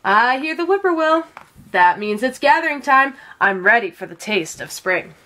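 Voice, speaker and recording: An eager country girl from a storybook , voiced by a woman reader, speaks in a fairly high, lilting voice. She sounds keen and food-loving. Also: very animated, moderate pace, pushed and bright, a little hiss or hum.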